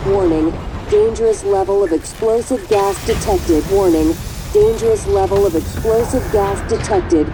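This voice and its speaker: Game role: woman as impersonal station alarm system, formal announcer delivery, mid-pitched, noisy ambience. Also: moderate pace, lightly acted. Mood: impersonal warning. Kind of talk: scripted safety announcement in a video game.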